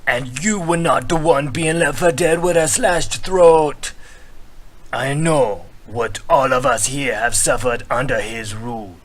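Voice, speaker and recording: Male actor as battle-hardened troll leader, slow pace, grave, proud, emphatic dialect, fantasy game character. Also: mid-range voice, voice pushed up, close to an everyday voice. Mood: grave, forceful.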